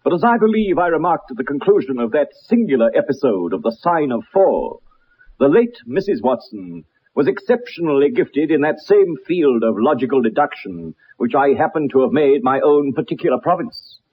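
An actor playing a haughty, precise gentleman detective with a British accent in a radio drama, speaking in formal, pompous phrases. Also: a little high, big pitch swings, normal speed, husky. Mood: disapproving. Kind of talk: radio drama acting with first-person narration. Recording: dull lo-fi old radio recording.

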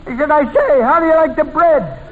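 An actor playing an eager gag teller who rambles and repeats himself on an old radio comedy show. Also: male voice, extremely high pitch, animated, fairly quick. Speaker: guest joke teller. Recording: dull echoey old radio stage.

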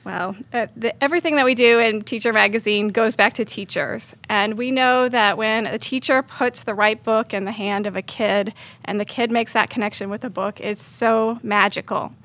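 A woman's voice: fairly high pitch, steady tone, moderate pace, a bit bright. A woman answering as an interview guest, explaining things conversationally as an editor.

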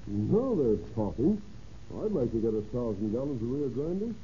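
An actor playing a curious, easily impressed motorist who muses in a folksy way in a 1930s radio commercial skit. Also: male voice, medium pitch, sing-song, moderate pace, mellow, natural voice. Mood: curious, pleased. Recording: muffled, noisy 1930s radio recording.

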